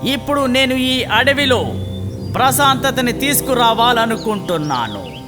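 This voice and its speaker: A man's voice: very high-pitched, very animated, measured pace, heavily acted. A man voicing a sly cartoon cat who poses as a holy sage, grandly reassuring and falsely saintly. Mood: pious, solemn. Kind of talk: children's cartoon dialogue. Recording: long reverb, clear music behind.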